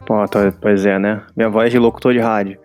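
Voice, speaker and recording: A man from Rio, introducing himself with wry, relaxed humor. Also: on the low side, brisk, faint music behind. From Rio de Janeiro, Brazil. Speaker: photographer guest. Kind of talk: casual self-introduction.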